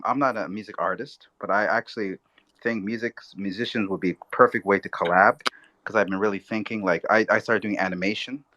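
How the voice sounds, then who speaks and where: A man joining a live discussion as a participant, talking casually in a rambling, halting way. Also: on the low side, fairly bright tone. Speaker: audience participant.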